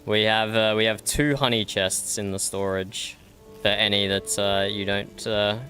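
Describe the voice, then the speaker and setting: A man's voice: lowish voice, steady tone, unhurried, sharp tone, faint music behind. A man voicing a good-humoured companion in a role-play game who laughs often, stammers and says "aye".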